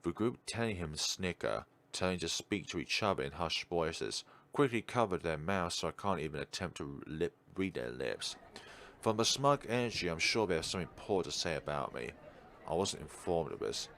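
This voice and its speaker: A man's voice: deep voice, lively intonation, moderate pace, a bit bright. A man reading a visual novel aloud and commenting as a let's player, sometimes stumbling and correcting himself. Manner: stumbles over read lines and corrects himself. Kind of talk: game commentary and dramatic read-aloud narration.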